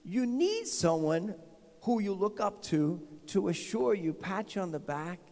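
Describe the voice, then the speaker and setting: A man's voice: sits high, big pitch swings, unhurried, mellow. A middle-aged man with a New Jersey accent, preaching a conversational homily as a pastor and often asking the congregation questions. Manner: informal, repetitive restarts, asks the congregation questions. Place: large decorated church sanctuary. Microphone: handheld microphone. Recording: long church reverb.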